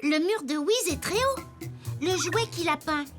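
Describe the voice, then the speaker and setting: A little wooden puppet boy with a very high, bouncing voice. He is an earnest young detective from a children's cartoon, taking his time over each question, with music playing underneath. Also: lively intonation, a little slow. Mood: inquisitive, bright. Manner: earnest, inquisitive, enthusiastic. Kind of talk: French children's cartoon dub acting.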